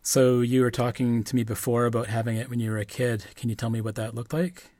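A man hosting a podcast, reading a measured, earnest introduction and asking interview questions. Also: mid-range voice, steady tone, darkish tone, no echo, clean studio sound. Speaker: podcast host.

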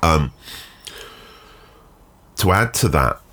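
A man hosting a solo photography podcast, sounding nasal and congested from a cold, speaking in a measured, thoughtful way with frequent ums. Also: sits low, lively intonation, a bit bright. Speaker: podcast host. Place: garden shed.

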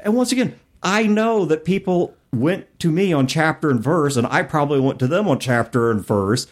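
A man's voice: on the high side, lively intonation, moderate pace. A man hosting a podcast discussion, explaining his points in a wordy, professorial way. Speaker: podcast host. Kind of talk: discussion of tabletop gaming.

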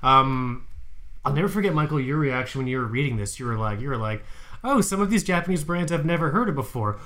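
A man's voice: medium pitch, expressive, fast, fairly bright tone. A man co-hosting a podcast in casual chat, hyped and profane as he plugs the website. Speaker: podcast co-host.

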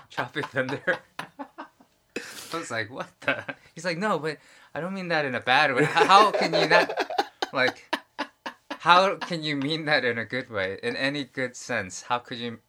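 Guy in his 40s rambling on a podcast, bright tone, laughs a lot. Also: mid-range voice, slight background noise. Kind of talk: casual chat.

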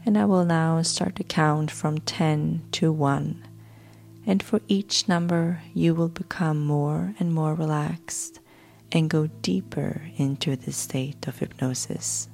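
Female sleep-hypnosis guide: soft, soothing delivery, unhurried pace, noticeable vocal fry, music underneath. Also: sits low, steady tone. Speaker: podcast host guiding sleep hypnosis. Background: background music.

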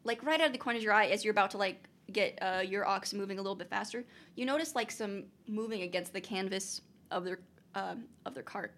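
A woman's voice: medium pitch, fast talker, fairly bright tone. A young woman running a tabletop game as game master, chatty and laughing often, with lots of fillers.

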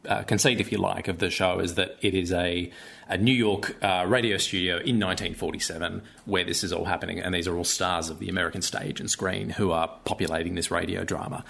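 Deep, gravelly man in interview. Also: steady tone, a little fast, a bit bright. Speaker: actor being interviewed. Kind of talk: conversational interview.

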